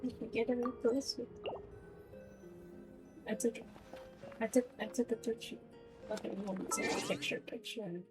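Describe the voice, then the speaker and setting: A woman's voice: a little high, moderate pace, pauses often. A woman VTuber casually commenting as she plays a game and reads some dialogue aloud. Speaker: streamer, VTuber. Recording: game music behind the voice.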